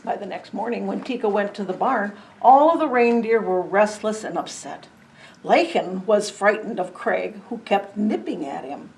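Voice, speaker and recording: A woman reading a children's story aloud in an expressive, dramatic storytelling manner. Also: mid-range voice, normal speed, low-quality recording. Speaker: storybook reader. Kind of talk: children's story read-aloud with chatty asides.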